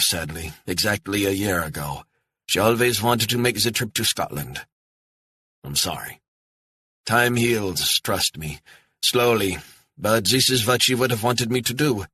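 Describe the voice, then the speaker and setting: A man's voice: fairly low pitch, bright, forceful tone, breathy edge, dry recording, pitched above the performer's own voice, heavily acted. A man voicing a friendly older retiree man in his sixties with an effusive, chatty manner. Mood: wistful. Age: 60s.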